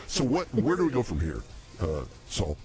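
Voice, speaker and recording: A man co-hosting a comedy podcast with improvised banter, speaking haltingly and repeating himself. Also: on the low side, huge pitch swings, over a noisy crowd.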